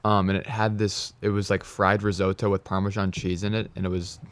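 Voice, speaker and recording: Low, laid-back young man. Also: flat delivery, brisk. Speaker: podcast co-host. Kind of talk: casual chat.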